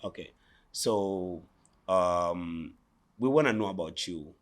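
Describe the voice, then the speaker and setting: A man's voice: low voice, slow pace. A man hosting an interview in a shop, chatty and enthusiastic, often repeating his questions. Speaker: interviewer, host. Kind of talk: casual interview. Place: boutique, indoors.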